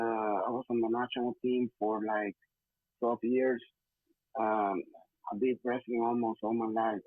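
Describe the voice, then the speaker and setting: A young Puerto Rican man talks haltingly, searching for English words between fillers. His voice comes through thin and phone-like, with a dry, close sound and no room around it. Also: medium pitch, no echo. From Puerto Rico. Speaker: interview guest, wrestler. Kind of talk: casual interview answers.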